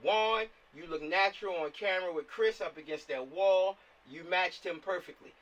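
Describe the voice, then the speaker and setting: A man with a fairly high, very bright voice talks like a director rallying a team. He is casual, encouraging and emphatic. The sound comes from a bit away from the mic on a video call. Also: moderate pace, dull audio. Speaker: film director. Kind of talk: casual pep talk on a group video call. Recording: distant video-call microphone.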